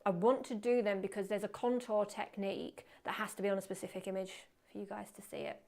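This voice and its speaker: A woman teaching photography in a live webinar, chatty and self-deprecating, muttering quietly to herself as she works at her computer. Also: medium pitch, flat delivery, quick pace. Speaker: photography instructor. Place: indoors at a computer.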